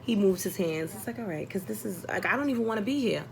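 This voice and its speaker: A woman's voice: mid-range voice, animated, fast talker, vocal fry, a little hiss or hum. A young adult woman telling a personal story casually, exasperated and blunt, acting out dialogue and swearing often.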